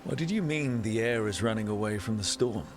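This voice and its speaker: An actor playing an earnest young adventurer asking polite, curious questions. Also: male voice, lowish voice, lively intonation, a little fast, noisy background, natural voice. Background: music.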